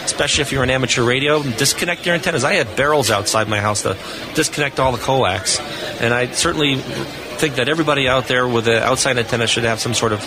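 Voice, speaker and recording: A man's voice: mid-range voice, fast. Older man, radio host, casual storytelling, jokey, noisy convention floor.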